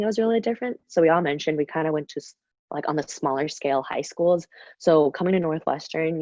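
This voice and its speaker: A woman's voice: deep voice, a little mellow, no room sound. A young woman from Shanghai hosting a student webinar in a chatty, casual way, with plenty of fillers. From Shanghai, China. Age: young adult. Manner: chatty, many fillers and "kind of". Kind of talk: casual presentation. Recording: dull, dry video call audio.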